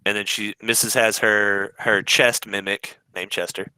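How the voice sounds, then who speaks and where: A man's voice: lowish voice, level tone, unhurried, sharp tone, smooth, no echo, poor audio. A man chatting casually as a guest on a podcast. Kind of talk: casual chat.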